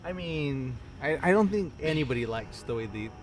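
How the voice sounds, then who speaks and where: A man's voice: on the high side, animated, moderate pace. A man born in Mexico whose first language was Spanish, hosting a casual podcast chat with a laid-back, joking manner. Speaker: podcast host.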